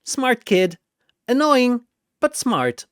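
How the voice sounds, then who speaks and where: A man's voice: extremely high pitch, sing-song, fairly bright tone, clear, resonant tone, no echo, clean studio sound, voice pushed up, exaggerated voice. A man voicing a mocking, theatrical triangle demon trickster in an audio battle story. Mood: mocking menace. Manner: mocking, drawn-out theatrical delivery. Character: gleefully taunting manic triangle demon trickster.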